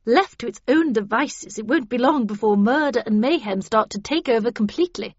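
A woman's voice: on the high side, expressive, brisk, slightly rough, no echo. A woman voicing a stern, cold, commanding female official, severe and formal, in an audiobook. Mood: cold, urgent. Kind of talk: audiobook character dialogue. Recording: dull, band-limited audio.

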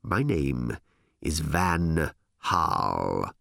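A man voicing a cold, smug, condescending male editor-in-chief in an audiobook, slow, deliberate and drily sarcastic. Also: rumbling low, very animated, gravelly, sharp tone, no echo, clean studio sound, voice pushed down, exaggerated voice. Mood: smug, measured. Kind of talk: audiobook character voice.

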